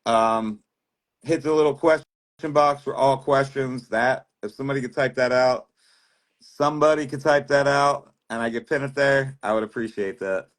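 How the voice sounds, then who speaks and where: Man, livestream host, relaxed, friendly, mid-pitched. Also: moderate pace. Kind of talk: casual livestream hosting.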